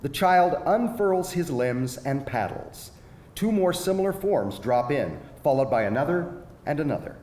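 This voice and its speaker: Expressive male narrator in an echoey hall. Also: fairly high pitch, measured pace. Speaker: stage-direction narrator. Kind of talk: scripted narration at a live screenplay reading. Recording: echoey hall, faint background noise.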